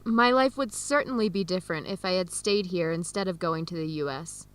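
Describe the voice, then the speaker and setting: A woman's voice: on the low side, brisk, a bit bright, close to an everyday voice. A woman acting an earnest young adoptee in a radio drama, speaking openly with an American accent. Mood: wistful.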